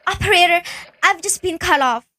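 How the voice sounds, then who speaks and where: A nervous, demanding invalid wife voiced by a woman in a radio play. The voice is extremely high, bright and tense, with a fretful, insistent edge. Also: normal speed. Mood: horrified, urgent. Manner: anxious, insistent, complaining.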